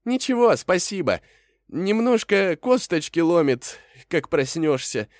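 A man's voice: high-pitched, big pitch swings, a little slow, dry recording, clean studio sound, voice pushed up. A man voicing a small, sly storybook rabbit who talks in a wheedling, mock-polite way. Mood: chirpy, polite. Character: small cunning chirpy male rabbit, sweetly polite trickster. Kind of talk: animated fairy-tale character voice.

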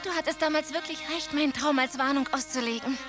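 A woman's voice: sits high, quick pace, close to an everyday voice. An actress playing a devoted, anxious young noblewoman in a melodramatic radio drama. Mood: tender, remorseful. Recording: loud music behind.

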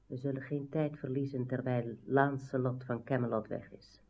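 An imperious scheming sorceress, tinny radio sound. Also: female voice, a bass voice, fairly even intonation, husky, full character voice. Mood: coldly scheming. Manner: commanding, deliberate, menacing. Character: scheming, imperious aunt, a sorceress plotting ruin. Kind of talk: radio drama acting. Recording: muffled narrowband old radio recording.

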